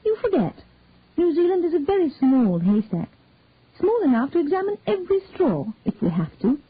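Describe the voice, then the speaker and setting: A woman's voice: high voice, very animated, moderate pace, pitched above the performer's own voice. A woman voicing an anxious young woman searching for her birth family, asking eager, pleading questions. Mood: confident. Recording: tinny dry phone-like sound.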